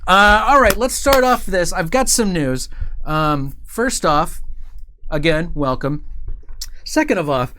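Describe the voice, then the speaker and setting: A male live stream host with a somewhat high-pitched voice. He talks casually to his viewers, rambling through announcements in an informal, playful way. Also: expressive, takes it slowly, sharp tone, a little hiss or hum.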